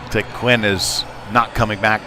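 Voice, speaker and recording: A man's voice: on the low side, steady tone, moderate pace. Man calling hockey over rink noise. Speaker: play-by-play sports commentator. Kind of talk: live ice hockey play-by-play commentary. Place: indoor ice rink. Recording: noisy live rink broadcast.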